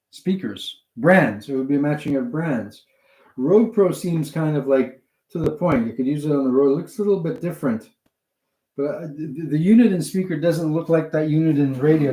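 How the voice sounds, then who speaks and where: A man with a mid-range voice chats casually to a livestream. He talks in a halting, digressive way, thinking aloud as he goes. The delivery is that of an unscripted hobbyist showing off what he bought. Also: mid-range voice, mellow, poor audio. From Canada. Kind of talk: casual rambling livestream chat.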